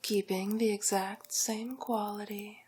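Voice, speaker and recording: A woman playing a haughty, vain, glamorous villainess in an ASMR roleplay, whispering breathily and condescendingly with drawn-out endearments. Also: medium pitch, level tone, darkish tone, breathy edge. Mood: luxuriating, persuasive.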